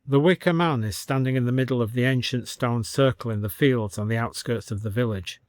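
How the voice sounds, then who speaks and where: A man's voice: mid-range voice, a little fast, dry recording. Male storyteller, dry close recording. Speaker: audiobook narrator. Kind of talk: scripted story narration.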